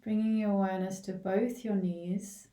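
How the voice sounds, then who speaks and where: A woman leading a guided body scan meditation in a gentle, unhurried way, leaving long pauses between short phrases. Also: mid-range voice, fairly even intonation. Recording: roomy, echoing, distant from the mic.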